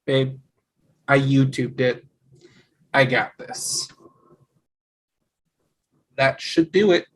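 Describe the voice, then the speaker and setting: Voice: medium pitch, extremely slow, poor audio. Someone playing a skeptical, frustrated young husband in a conversational table read over a video call. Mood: stubborn, casual.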